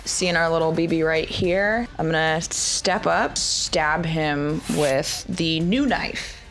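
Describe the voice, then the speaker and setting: A woman's voice: deep voice, slow, a bit bright, faint music behind. A woman playing a tabletop role-playing game, casually narrating what her character does.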